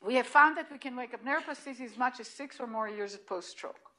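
High-voiced Israeli woman lecturing. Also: fast talker. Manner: animated and emphatic, with restarts and repeated points. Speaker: lecturer at hospital grand rounds. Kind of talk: lecture presentation. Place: lecture room, indoors.

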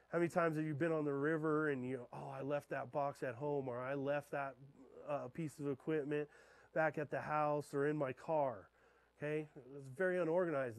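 A man in his thirties, a fishing guide, giving a talk in a darkened lecture room and checking in with his listeners with a steady "okay?". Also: fairly high pitch, no echo, rough recording. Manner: keeps checking in with the audience with "okay?". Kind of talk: classroom talk to an audience. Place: dark lecture room beside a projector screen.

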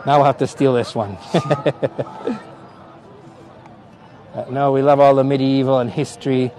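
A man's voice: mid-range voice, fairly even intonation, measured pace, darkish tone, some chatter behind, slight background noise. A middle-aged man from Canada with a Canadian accent, a tour guide chatting casually on a live stream outdoors, laughing often and greeting viewers by name. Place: outdoor hilltop park. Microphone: phone on gimbal at arm's length.

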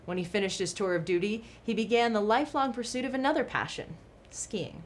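A young woman anchoring a local TV news segment, reading a scripted feature in a clear, even newsreader delivery from a studio desk. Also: mid-range voice, fairly quick, a little hiss or hum. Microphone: studio mic, seated at desk.

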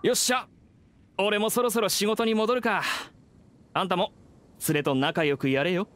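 A man playing a casual, rough-talking young worker at a haunted attraction in a Japanese video game, breezy and colloquial. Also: high-pitched, fairly even intonation, normal speed, fairly bright tone. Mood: breezy, matter-of-fact. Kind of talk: scripted Japanese game voice acting.

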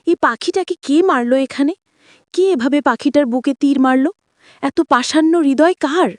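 A woman's voice: sits high, normal speed, a little mellow, no echo, clean studio sound, close to an everyday voice. A woman voicing a kind-hearted, earnest young girl heroine in a fairy-tale cartoon, with a pleading manner. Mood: concerned. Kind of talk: cartoon voice acting.

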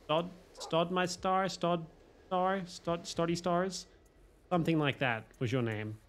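Hoarse streamer, sick and swearing. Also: male voice, a little high, a little slow, a bit bright. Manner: swearing, self-mocking, chatting to viewers. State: hoarse, losing his voice from a cold. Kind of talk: casual live-stream chatter while playing. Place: home streaming room. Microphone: headset.

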